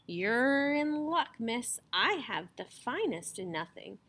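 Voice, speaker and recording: A woman's voice: fairly high pitch, big pitch swings, slow pace, a bit bright, full character voice. A woman voicing an eager, wheedling shopkeeper, a man touting his wares in a storybook. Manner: salesman-like, eager.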